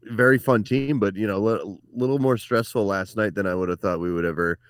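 Deep-voiced man co-hosting sports talk. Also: fairly quick, clean tone, dry recording. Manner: easygoing, chatty, frequent fillers. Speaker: podcast co-host. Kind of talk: casual sports chat.

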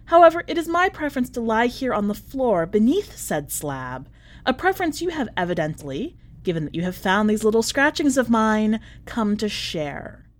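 A woman's voice: fairly high pitch, big pitch swings, moderate pace. A woman voicing an imprisoned letter writer with brittle genteel cheer and bitter irony, in mannered, over-polite phrasing, for a story reading. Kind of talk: dramatic short story reading.

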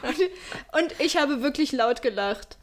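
A woman's voice: high-pitched, lively intonation, takes it slowly, slight background noise. A woman in her early twenties co-hosting a casual podcast chat, laughing often and mixing in English slang. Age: 20s. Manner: giggly, mixes English slang. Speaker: podcast co-host.

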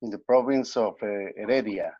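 A man from Rica, a guest speaking softly and haltingly on a video call. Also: fairly low pitch, husky, dull audio. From Costa Rica.

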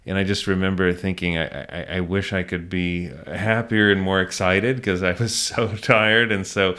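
A middle-aged man speaking as an interview guest, telling a story conversationally and haltingly, often acting out his own thoughts. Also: low voice, level tone, pushed and bright. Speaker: interview guest, small business owner.

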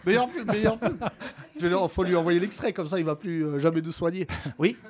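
A man's voice: high voice, a little fast, darkish tone. A man hosting improvised comedy, questioning audience members with teasing, mock-serious banter. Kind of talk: improvised crowd-work banter.

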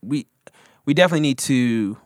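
A man's voice: medium pitch, lively intonation, fairly quick, dry recording, clean studio sound. A man co-hosting a casual podcast, rambling with lots of fillers and frequent laughter. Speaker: podcast co-host. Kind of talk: casual chat about hip hop.

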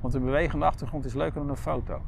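A man's voice: on the high side, fairly even intonation, very fast, vocal fry, slight background noise. A man with a Netherlands Dutch accent co-hosting a podcast in casual, conversational chat, explaining things as he goes. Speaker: podcast co-host.

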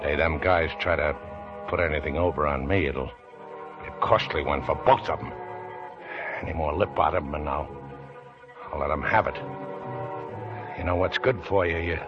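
An actor playing a greedy, paranoid young prospector who snarls and mutters to himself, in an old radio play. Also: male voice, a bass voice, moderate pace, long pauses, over music. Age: young adult. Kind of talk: 1940s radio acting. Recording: dull lo-fi old radio recording.

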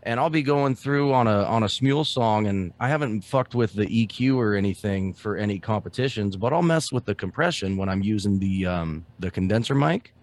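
A man with a fairly low voice co-hosts a relaxed podcast conversation. He talks loud and excitable, tossing in swear words as he rambles about gear and singing. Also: fairly quick, few pauses. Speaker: podcast co-host. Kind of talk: casual chat.

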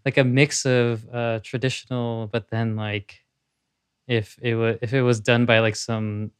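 A man with a deep, smooth, resonant voice talks eagerly and loosely. The sound is dry and close, with no room around it. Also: level tone, measured pace, dry recording. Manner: enthusiastic, chatty, frequent fillers. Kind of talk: casual reaction chat.

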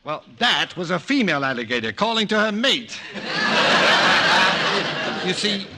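An actor playing a flustered, jealous husband in a 1950s radio comedy, exasperated, sarcastic and stammering. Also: male voice, high-pitched, lively intonation, takes it slowly, heavily acted. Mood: bitterly sarcastic. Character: flustered, jealous husband caught between two wives. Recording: noisy, crackly vintage 1950s radio recording.

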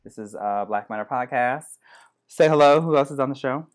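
A man's voice: medium pitch, a little fast, clean tone. Guy hosting a chill podcast, bossy and teasing, keeps everyone moving. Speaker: podcast host. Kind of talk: casual podcast chat.

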